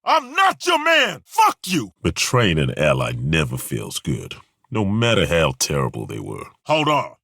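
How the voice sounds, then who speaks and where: A gruff wry dwarf warrior. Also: male voice, low-pitched, huge pitch swings, measured pace, sharp tone, no room sound, clean studio sound, exaggerated voice. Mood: angry, then dry. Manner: wry, grumbling, crude humor. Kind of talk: video game voice acting.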